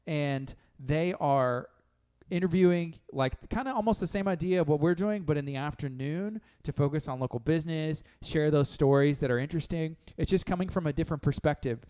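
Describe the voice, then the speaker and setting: A man's voice: on the high side, fairly quick, dark tone, smooth. A man with a Texan accent co-hosting a local radio show in a studio, chatting casually and explaining things at length. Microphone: studio mic.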